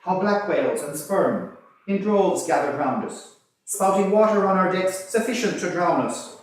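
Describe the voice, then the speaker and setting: A man's voice: high-pitched, expressive, normal speed, voice pushed up. A man playing a boisterous, wry convict sailor, reciting comic verse in a rollicking, declamatory rhythm. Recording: distant mic in very echoey room.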